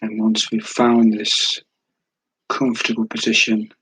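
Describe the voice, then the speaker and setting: A man leading a guided meditation in a calm, soothing, unhurried way, with a soft, breathy voice and long pauses. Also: on the low side, no room sound, poor audio. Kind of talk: guided meditation, slow spoken instruction.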